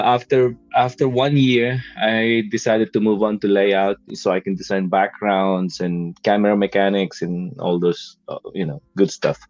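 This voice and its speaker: 필리핀 억양의 50대 남성이 인터뷰에서 머뭇거리며 "음", "어" 같은 말을 자주 섞어 가며 차분히 답합니다. 목소리는 중간 높이이고, 울림 없이 건조하며 다소 먹먹한 저음질로 녹음되었습니다. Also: male voice, mid-range voice, darkish tone, no room sound. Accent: Filipino. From Philippines. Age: 50s. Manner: hesitant, many fillers and restarts, easy laughs. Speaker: guest, animation artist. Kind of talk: casual interview answers. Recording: dull lo-fi dry sound.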